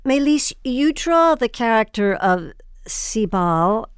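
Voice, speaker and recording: Female host. Slow, smooth and resonant, slightly high pitch, measured interview style. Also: very animated, no echo, clean studio sound. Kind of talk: measured, prepared interview questions.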